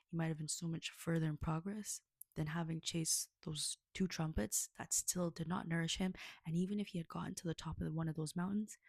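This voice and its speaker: Woman, low gravelly voice, casual and earnest, rambles and checks in with listeners; dry close recording. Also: darkish tone, dry recording. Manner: rambling, earnest, frequent "okay?" check-ins. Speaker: storyteller reflecting on a fable. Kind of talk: casual spoken reflection to listeners.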